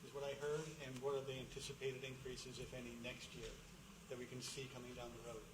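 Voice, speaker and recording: Brisk man moderator heard from afar. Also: medium pitch, level tone. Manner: brisk, courteous. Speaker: meeting moderator. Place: public meeting hall. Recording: distant, echoey hall with noise.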